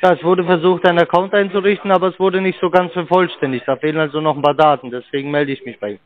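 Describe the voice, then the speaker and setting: A man cold-calling as a sales agent, in a smooth, scripted salesman tone, over a dull phone recording. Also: fairly high pitch, fairly even intonation, quick pace. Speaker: scam caller. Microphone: telephone.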